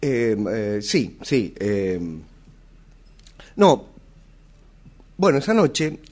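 An actor in a radio theatre exercise playing a nervous, hesitant husband, stammering and repeating himself with an Argentine accent. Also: male voice, lowish voice, sing-song, very slow, a little mellow, heavily acted. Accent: Argentine, Rioplatense. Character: nervous husband haltingly confessing an old affair. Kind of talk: radio theatre workshop acting.

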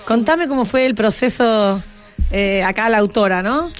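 Higher-voiced Argentine woman hosting radio. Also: expressive, normal speed, faint music behind. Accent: Argentine, Buenos Aires. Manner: encouraging, prompting with tag questions. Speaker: radio host. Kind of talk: casual interview.

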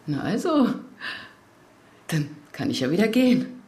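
A woman's voice: medium pitch, big pitch swings, measured pace, mellow. An actress in a German radio drama playing a reasonable, formal, soothing woman official in an echoey room. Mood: firm, soothing. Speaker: actor in a radio play. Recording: echoey room, background noise.

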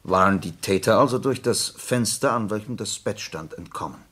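A man acting in a radio play as a detective's attentive companion, thinking aloud and questioning hesitantly in a low, husky voice. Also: moderate pace, a bit bright. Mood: puzzled, curious. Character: detective's attentive, thoughtful male companion, questioning and following along.

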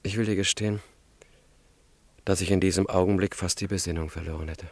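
A deep, closely recorded voice of a young revolutionary in a radio drama. He is intense and brooding, speaking deliberately and quick to defend himself. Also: steady tone, no echo. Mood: confiding, shaken. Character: brooding young male student revolutionary plotting an assassination. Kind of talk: dramatic radio play dialogue.

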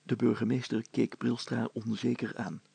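A male storyteller narrates a children's tale from a script. His mid-pitched voice is husky, with a very strong gravelly creak. The sound is dry, with no room to it. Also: little pitch movement, normal speed, warm tone, no room sound.

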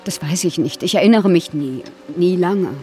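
A woman's voice: lowish voice, normal speed, warm tone, faint music behind, natural voice. A woman playing a guilt-ridden older sister in a radio drama, frightened, defensive and pleading. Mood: guarded, guilty.

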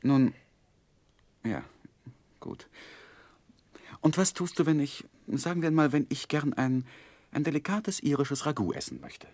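An actor playing an earnest, tender gentleman who narrates his life in a gentle, reflective, expressive way in a 1960s German radio play. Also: fairly high pitch, measured pace, pauses often, no echo. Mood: patient coaxing. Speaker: first-person narrator and lead.

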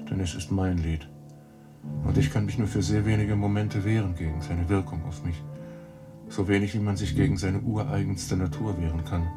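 An actor in a radio drama playing an obsessed, intense man addicted to music, confessing in halting speech. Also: deep voice, lightly acted. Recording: music behind the voice. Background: plucked guitar music.

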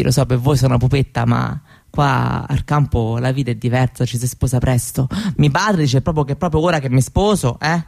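A woman's voice: extremely low pitch, gravelly, dark tone, heavily acted. Woman playing a chatty Roman Roma teenage girl. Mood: candid, determined. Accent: Roman Italian. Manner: colloquial, halting, seeking agreement with little tag questions. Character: chatty seventeen-year-old Roma girl, proud, candid, wistful. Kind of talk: conversational theatrical monologue for radio.

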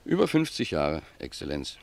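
A humble old gardener with a very deep, creaky and husky voice. He speaks with deference and simple, reflective earnestness, in the manner of a radio play. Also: huge pitch swings, normal speed, darkish tone, no echo, full character voice. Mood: respectful, concerned. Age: older. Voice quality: gravelly, husky. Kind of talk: German radio play acting.

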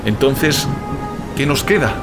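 An actor in an audio drama playing a weary, questioning brother. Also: male voice, mid-range voice, lively intonation, takes it slowly. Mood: anxious, questioning. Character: Rubén, weary questioning brother seeking answers. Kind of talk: Spanish audio fiction drama acting. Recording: very loud music and rain behind.

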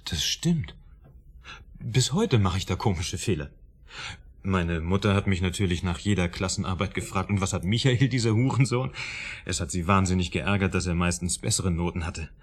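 Low-voiced, self-pitying failed writer. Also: male voice, animated, moderate pace, husky. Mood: resigned. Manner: confessional, self-deprecating. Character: self-pitying unlucky failed writer, a man confessing in therapy. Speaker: therapy patient. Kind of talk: radio drama dialogue.

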